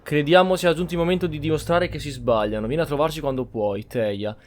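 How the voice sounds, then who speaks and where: A man's voice: on the high side, quick pace. A man giving casual gameplay commentary, musing aloud in an informal way. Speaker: gaming channel host. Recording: faint game music behind.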